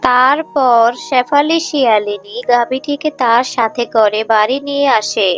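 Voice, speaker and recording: A woman's voice: fairly high pitch, a little slow, over music. A woman reading scripted narration for a cartoon folk tale.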